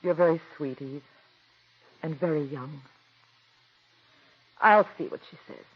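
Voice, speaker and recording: An actress in 1940s radio acting, playing a middle-aged theater woman who narrates her story in a soft, gentle voice, on a dull old radio broadcast. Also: low-pitched, unhurried, breathy edge. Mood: tender.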